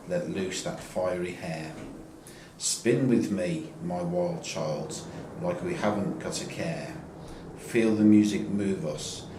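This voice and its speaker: In an echoing space with clear background noise, a man recites verse in a very deep voice. He takes the poem one short line at a time. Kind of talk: slow poetry recital. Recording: echoey, clearly noisy ambient recording.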